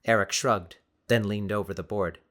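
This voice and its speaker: A man's voice: lowish voice, steady tone, normal speed, a bit bright. A man reading scripted fiction as a dramatic audiobook narrator.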